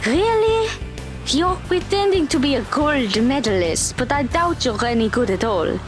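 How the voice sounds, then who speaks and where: A woman playing a brisk, sporty, blunt teenage girl in an audio drama. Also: high-pitched, animated, music in the background. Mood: brisk, challenging.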